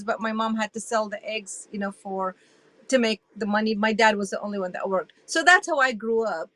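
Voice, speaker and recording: A woman in her sixties, originally from Iraq, telling her story as an interview guest in an animated, emphatic way. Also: a little high, dry recording. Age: 60s. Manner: animated and emphatic, with frequent restarts.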